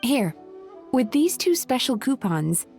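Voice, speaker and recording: Caring female healer spirit for a game: high voice, calm, polite, thoughtful counsellor delivery. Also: slow, soft background music, exaggerated voice. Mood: earnest. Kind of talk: video game voice acting.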